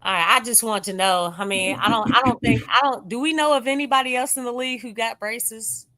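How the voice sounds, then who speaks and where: A woman's voice: on the high side, a little fast, pushed and bright. An American woman co-hosting a live sports show in an informal, playful, conversational way. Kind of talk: casual live sports chat.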